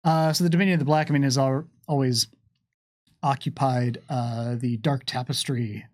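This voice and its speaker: A man's voice: medium pitch, fairly even intonation, moderate pace, a little mellow. Wry man fielding audience questions. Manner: wry, joking asides. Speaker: game developer answering audience questions on a live stream. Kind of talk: casual unscripted Q&A talk, reading out questions.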